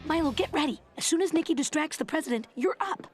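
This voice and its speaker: A woman playing an excitable, scheming young woman in a cartoon, emphatic and pleading. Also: sits high, fast, soft background music.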